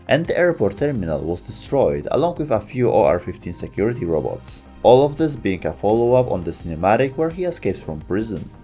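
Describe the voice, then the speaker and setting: A man narrates game lore from a script in a fairly low voice. His intonation is lively and a little wry, over soft background music. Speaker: video host and narrator.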